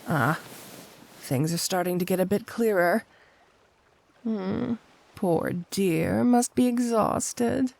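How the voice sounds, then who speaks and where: Slow, creaky, flirty supervillainess, acted by a woman. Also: medium pitch. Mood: doting.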